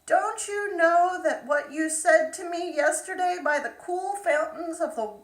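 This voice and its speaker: A woman voicing an insistent, pleading frog in a fairytale, calling out in drawn-out appeals. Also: very high voice, pitched above the performer's own voice. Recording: roomy echo, distant microphone.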